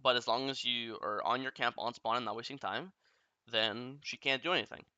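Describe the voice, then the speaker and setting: A man's voice: mid-range voice, bright, forceful tone, no room sound, dull audio. A young man coaching a player through a game replay, talking bluntly and often swearing as he explains. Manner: blunt, profane, rapid explaining.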